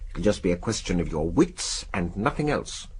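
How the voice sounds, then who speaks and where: An English actor in a radio drama playing a brusque older spy chief, clipped, gruff and commanding. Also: male voice, low voice, big pitch swings, moderate pace, a little hiss or hum. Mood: brisk, confidential.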